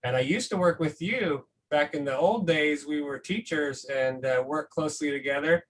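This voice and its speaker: A man's voice: on the high side, moderate pace, poor audio. A man speaking as an educator, casually explaining things and often checking in with the listener. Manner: conversational, often seeking agreement with tag questions. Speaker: science educator, guest reader.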